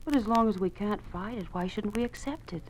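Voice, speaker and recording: A woman's voice: medium pitch, a little fast, darkish tone, natural voice. A woman with an American accent playing a placating, reasoning mother in a 1950s radio drama. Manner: soothing, reasoning. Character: placating American mother, anxious to accept and get along. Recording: noisy old 1950s radio recording.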